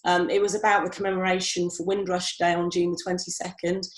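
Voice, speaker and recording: A woman hosts an online interview in a friendly, encouraging way, her speech dotted with fillers. Her voice sits fairly low, and the room around her sounds echoey through the video call. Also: little pitch movement, brisk. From Britain, family from Barbados. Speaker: event host and competition organiser.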